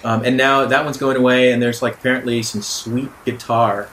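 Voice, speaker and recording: Male game master, mid-pitched, deliberate improvised storytelling, echoey room with some noise. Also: little pitch movement, fairly bright tone. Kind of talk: improvised narration of a tabletop role-playing game. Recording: roomy echo, background room noise.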